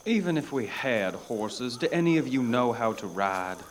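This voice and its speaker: An actor playing a prim, formal elf guide who speaks in lofty diction. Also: male voice, medium pitch, expressive, moderate pace, natural voice. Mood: haughty. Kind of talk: audio drama acting.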